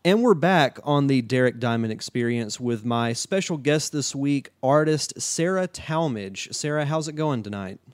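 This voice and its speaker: A man hosting a casual podcast interview, relaxed and chatty, often restarting his sentences. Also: mid-range voice, normal speed, few pauses, a little hiss or hum. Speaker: podcast host.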